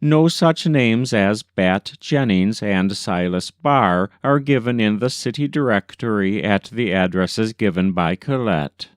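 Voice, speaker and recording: A man's voice: lowish voice, measured pace, hardly any pauses, smooth, dry recording, studio quality. A man reading an old newspaper story aloud as scripted narration. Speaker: volunteer reader.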